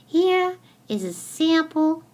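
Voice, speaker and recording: Female instructional narrator, lively intonation, slow clear teaching delivery. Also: very high voice.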